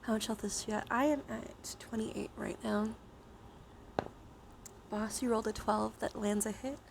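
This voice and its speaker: Female live streamer, slow and gravelly with vocal fry, soft ASMR chat drifting into whispers, clear background noise. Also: on the high side, fairly even intonation. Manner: casually sweary. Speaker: live streamer hosting a chat dice game.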